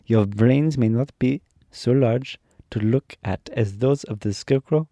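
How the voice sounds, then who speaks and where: A man's voice: medium pitch, measured pace, warm tone, no echo, studio quality, voice pushed up, lightly acted. A man voicing a gracious, commanding good witch in a slightly raised, stately voice.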